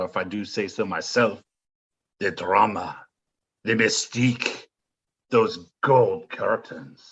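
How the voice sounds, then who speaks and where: An actor playing a scheming, zealous French duke in an audio play, speaking in a grandiose, mocking, theatrical way. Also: male voice, mid-range voice, a bit bright, lo-fi sound. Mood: mocking, sinister. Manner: grandiose, mocking, florid delivery with dramatic drawn-out phrases.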